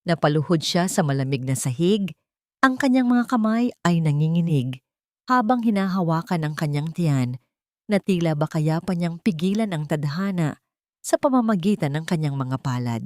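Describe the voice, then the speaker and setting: A woman's voice: fairly low pitch, animated, normal speed, no echo. A woman narrating a dramatic story from a script in a solemn, melodramatic manner.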